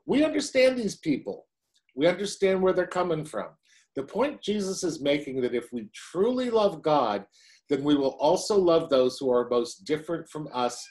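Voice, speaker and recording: A man's voice: on the high side, very animated, moderate pace, darkish tone. A man in his sixties, a pastor, preaching a sermon from home to a webcam in a chatty way, with wry asides.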